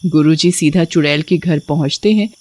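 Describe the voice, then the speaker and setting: A woman's voice: low-pitched, moderate pace, darkish tone. Woman narrating a horror story.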